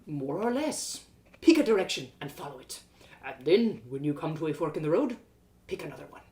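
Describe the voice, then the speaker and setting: A woman voicing a wise, gentle old village man in a storybook, speaking slowly in a measured, fatherly, philosophical way. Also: deep voice, very animated, voice pushed down. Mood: calm, knowing.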